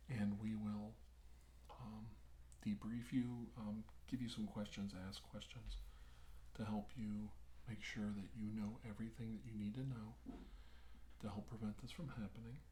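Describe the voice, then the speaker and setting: A man speaking softly and unhurriedly in an ASMR role-play as a calm doctor, often slipping toward a whisper. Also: fairly low pitch. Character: calm, reassuring doctor briefing on alien abductions. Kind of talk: soft-spoken ASMR medical role-play. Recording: roomy echo, faint background hiss.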